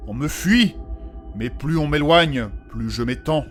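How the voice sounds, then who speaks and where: Guy as a polite earnest party leader, formal theatrical talk, bit high, fantasy audio play over soft music. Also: unhurried. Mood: solemn. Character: courteous, earnest male adventurer leading his companions. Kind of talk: comic fantasy audio drama acting.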